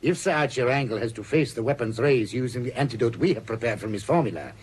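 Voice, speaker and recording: An actor playing a cold, calculating villain in a radio serial, speaking in a formal, measured, menacing way. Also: male voice, medium pitch, expressive, a little mellow. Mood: calculating, gloating. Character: cold, calculating male villain plotting cruelly. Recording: clear background hiss and noise.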